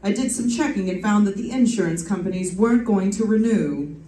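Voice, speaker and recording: A woman playing a wisecracking, brisk lady private detective in an old-time radio drama, cool under fire. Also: medium pitch, warm tone, close to an everyday voice. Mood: wry. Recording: roomy stage recording, distant mic.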